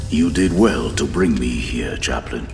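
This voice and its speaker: Embittered zealot warlord, grandiose, bottomless gravelly voice, deliberate, audio drama, echoing hall, music behind. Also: male voice, lively intonation, exaggerated voice. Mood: savoring, intrigued. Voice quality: very deep, gravelly. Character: Lorgar, a zealous, embittered male demigod warlord. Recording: echoey hall reverb, loud music behind.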